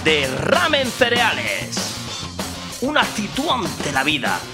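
A man narrates a mock documentary playfully, with a fairly high, very lively pitch and drawn-out phrases. Loud music plays under him throughout.

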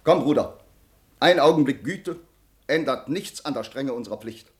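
Guy doing earnest honourable nobleman, old radio play, formal and passionate, really animated up and down. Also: mid-range voice, normal speed, close to an everyday voice. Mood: stern, solemn. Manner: formal, impassioned, declamatory. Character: earnest honour-bound young nobleman, principled and courteous. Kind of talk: 1950s German radio play acting of a classic comedy.

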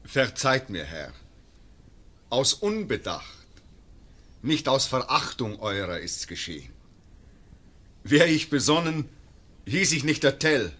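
A man's voice: mid-range voice, very animated, slow pace, long pauses, bright, forceful tone, full character voice. An actor playing a proud marksman father in a classic radio play, earnest, humble and anguished. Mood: pleading. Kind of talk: classic radio drama acting.

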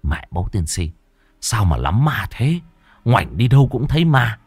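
Man putting on a low gruff swearing exorcist, quick-tempered, dry close sound, storybook. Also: big pitch swings, dry recording, exaggerated voice. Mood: angry. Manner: cursing, gruff. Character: foul-mouthed hot-tempered middle-aged male exorcist.

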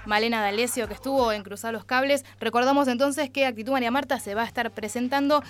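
A woman's voice: fairly high pitch, fast. A woman with a Rioplatense Argentine accent hosting a casual radio interview show. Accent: Rioplatense Argentine Spanish. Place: radio studio. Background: music.

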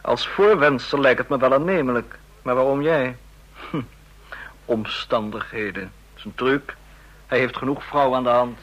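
An actor playing an ambitious, jealous young counter-espionage agent in a radio drama, insistent and sceptical in manner. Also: male voice, medium pitch, normal speed, lo-fi sound, lightly acted. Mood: suspicious, jealous. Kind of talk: Dutch radio drama acting.

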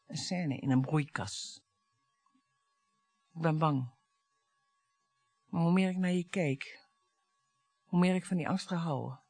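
A woman's voice: sits low, slow pace, pauses often, breathy edge, no echo, heavily acted. An actress in a radio play playing a bitter, taunting middle-aged wife and mother, sarcastic and dramatic. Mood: hushed, fearful. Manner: sarcastic, dramatic, swinging between hushed and biting.

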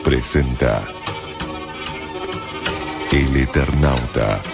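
A man's voice: rumbling low, drawn-out words, creaky, heavily acted. A man as a portentous announcer reading titles for a radio serial. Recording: very loud music behind.

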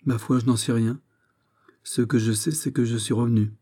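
A man's voice: mid-range voice, steady tone, moderate pace, dark tone, close to an everyday voice. A man playing an anguished young nobleman in a historical audiobook, earnest, polite and melodramatic as he pleads. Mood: dejected. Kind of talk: dramatised audiobook of a historical novel.

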